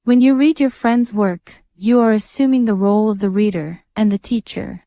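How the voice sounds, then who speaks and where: A woman reading a scripted instructional passage aloud with measured, careful enunciation. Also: mid-range voice, steady tone, warm tone, dry recording, clean studio sound. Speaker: exam practice reader.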